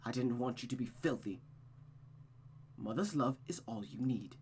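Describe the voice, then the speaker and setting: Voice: mid-range voice, animated, darkish tone, slight background noise, lightly acted. A performer voicing a possessive, controlling mother spirit in a visual novel, commanding and dramatic, with music behind. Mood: smug, insistent. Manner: commanding, dramatic, drawn-out outbursts.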